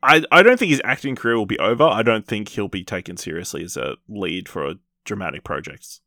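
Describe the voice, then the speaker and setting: A man hosting a podcast, casually reviewing films in a rambling, halting way with repeated false starts. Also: lowish voice, lively intonation, pushed and bright, no room sound, studio quality. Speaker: podcast host. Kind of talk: casual film review chat.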